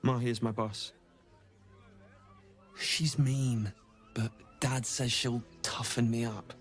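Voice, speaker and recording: An actor playing a hesitant, earnest young dog caretaker in a video game. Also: male voice, mid-range voice, fairly even intonation, slow, faint music behind, lightly acted. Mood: timid, earnest. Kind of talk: video game character acting.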